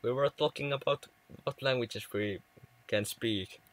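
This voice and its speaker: A man's voice: mid-range voice, unhurried, a bit bright. A young man from Finland with a Finnish accent, chatting casually with viewers on a game livestream, often stumbling and restarting words. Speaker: livestream gamer.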